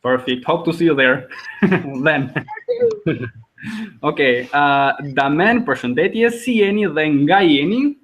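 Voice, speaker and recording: A man's voice: fairly high pitch, measured pace, long pauses. A young man from Albania with an Albanian accent, teaching an informal online language lesson as host. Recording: dull lo-fi video call audio.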